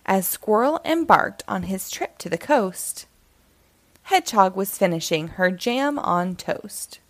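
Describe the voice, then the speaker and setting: A woman reading a rhyming children's picture book aloud in an expressive storytelling style. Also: mid-range voice, slow pace, no echo.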